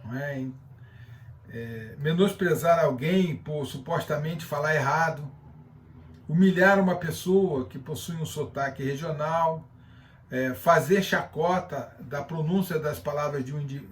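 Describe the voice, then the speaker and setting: A Brazilian man teaches in a somewhat high-pitched voice, recorded at some distance from the microphone. He explains point by point in a lecture style. His delivery is halting, as he often feels around for the next word. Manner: halting, searching for words. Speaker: teacher giving a class. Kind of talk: explanatory lecture with loose reading from notes.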